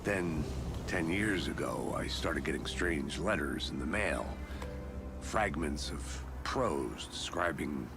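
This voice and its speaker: A grim, weary male detective in a video game, with an extremely deep, low voice. He talks in a grave, confessional way. Loud music plays under his voice. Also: lively intonation, slow, vocal fry, full character voice. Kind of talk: game voice acting.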